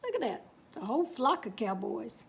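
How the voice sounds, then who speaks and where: A woman reading a children's picture book aloud, with expressive, drawn-out words and folksy asides. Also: on the high side, slight background noise.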